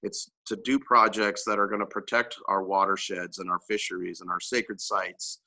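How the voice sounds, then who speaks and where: Earnest man with a lowish, very bright voice, heartfelt panel remarks over a video call. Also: little pitch movement, moderate pace, creaky. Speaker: panelist, public servant.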